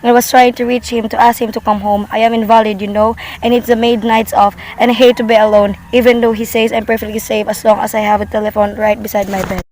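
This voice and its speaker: A high-voiced, anxious invalid wife played by a woman in an amateur radio play. She is fretful and demanding, pressing her case insistently and at length. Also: level tone, fast, hardly any pauses, a little mellow, a little hiss or hum, lightly acted, rough recording. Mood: anxious, explaining. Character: anxious, demanding invalid wife reporting an overheard murder plot.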